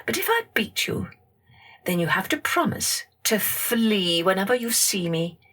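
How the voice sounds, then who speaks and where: A woman's voice: medium pitch, very animated, a little slow, fairly bright tone. A woman voicing a weary, irritable but determined female rain spirit with an exasperated, firm manner in a children's storybook.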